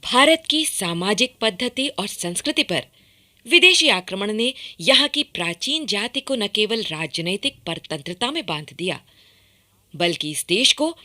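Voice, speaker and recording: A woman delivering formal, emphatic scripted narration for a historical radio documentary, in measured phrases. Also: medium pitch, bright, forceful tone.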